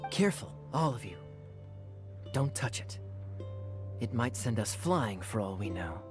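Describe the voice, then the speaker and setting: A wry young knight in a video game. His smooth, playful delivery moves widely in pitch, deliberate and a little formal. Music plays behind him. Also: very animated. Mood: cautious. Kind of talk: video game cutscene voice acting.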